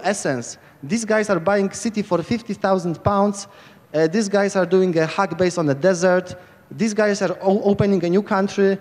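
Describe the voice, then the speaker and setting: Excitable Polish man on a reverberant stage. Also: high-pitched. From Poland. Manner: rambling, enthusiastic, many restarts. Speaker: lightning talk speaker. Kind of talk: informal conference talk. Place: large tent stage at hacker camp. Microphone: handheld mic.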